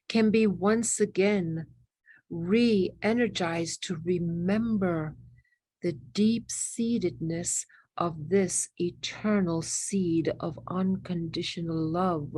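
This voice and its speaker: A woman's voice: fairly low pitch, darkish tone, no room sound. A woman leading a spiritual guided meditation in a reverent, incantatory manner, repeating phrases in fragments.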